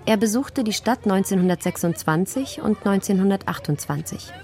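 A woman's voice: medium pitch, animated, normal speed, a little mellow, some chatter behind. A woman narrating a radio documentary from a script.

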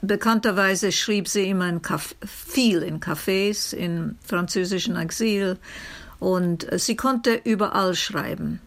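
A woman answering spontaneously in an interview, halting as she searches for words. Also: medium pitch, creaky.

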